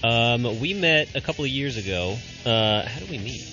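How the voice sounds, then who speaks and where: A man's voice: mid-range voice, expressive, moderate pace. A man who plays drums, joking and laughing as a guest on a radio show. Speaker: guest musician, drummer. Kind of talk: casual radio interview. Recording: slightly dull radio recording with music.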